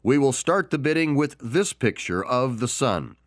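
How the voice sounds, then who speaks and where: A man's voice: mid-range voice, big pitch swings, normal speed, dry recording, pitched above the performer's own voice, lightly acted. A man voicing an insistent male auctioneer calling out bids in a dry, close recording.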